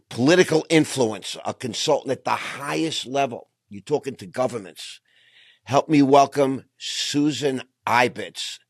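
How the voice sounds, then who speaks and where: Husky-voiced male podcast host. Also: mid-range voice, no echo, studio quality. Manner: enthusiastic, effusive, repeats words when excited. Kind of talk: conversational interview.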